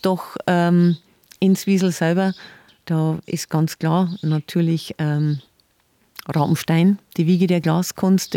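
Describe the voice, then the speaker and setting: A woman, a city tour guide talking in a relaxed podcast interview, chatty and warm, often laughing and repeating words. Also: fairly low pitch, no echo.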